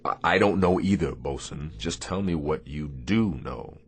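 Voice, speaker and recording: A navy destroyer captain, super deep voice, brisk and wry, radio play, noisy background. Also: male voice. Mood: probing. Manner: brisk, authoritative. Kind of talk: radio theatre acting.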